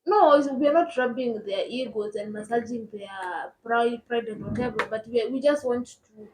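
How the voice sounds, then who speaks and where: A woman's voice: a little high, normal speed, noisy background. A woman with a Kenyan accent co-hosting a podcast, teasing and laughing often in casual banter. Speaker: podcast co-host.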